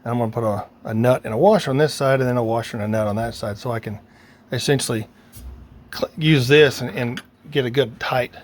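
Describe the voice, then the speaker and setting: A man's voice: medium pitch, brisk. A man giving a casual hands-on how-to, thinking aloud informally as he works. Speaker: DIY radio hobbyist presenter. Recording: clear background noise, ambient sound.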